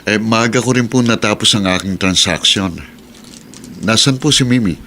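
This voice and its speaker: A man's voice: on the low side, normal speed, bright, forceful tone. An actor playing a weary, nagging husband and devoted father in a Filipino radio drama, grumbling with a Filipino accent. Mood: polite. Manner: grumbling, reproachful. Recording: noisy radio drama recording.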